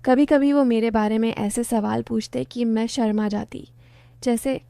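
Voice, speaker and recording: A woman narrating a first-person story in a steady storytelling tone. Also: fairly high pitch, a little slow, creaky, clear, resonant tone, no echo.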